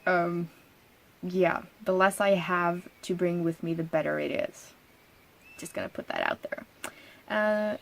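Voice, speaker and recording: A woman in her thirties from Norway, hosting a crafting podcast in a chatty, casual way, laughing often and pausing with ums. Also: on the low side. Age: 30s. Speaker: knitting podcast host. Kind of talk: casual solo podcast chat.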